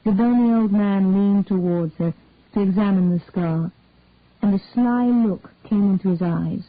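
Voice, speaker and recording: A woman narrating a detective story in a measured, even storytelling manner. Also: medium pitch, dry recording. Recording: tinny dry phone-like sound.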